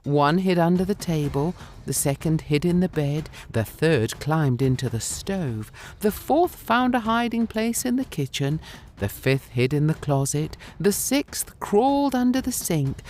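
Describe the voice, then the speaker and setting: A woman's voice: low voice, darkish tone, a little hiss or hum. A woman storyteller narrating a scripted fairy tale for children.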